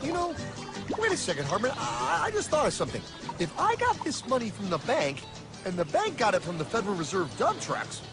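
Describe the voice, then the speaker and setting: A very high-pitched, lively cartoon everyman acted by a man, naive and excitable. He speaks like a bewildered innocent, always questioning, over a music bed. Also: a little fast, gravelly, long pauses, exaggerated voice. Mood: puzzled. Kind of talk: animated cartoon dialogue. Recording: music under the voice.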